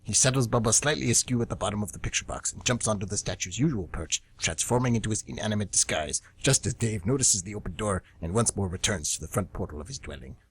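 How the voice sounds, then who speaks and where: A man with a husky voice reading scripted, dramatic story narration for a radio theatre show. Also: lowish voice, lively intonation, fast, few pauses. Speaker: narrator and co-host.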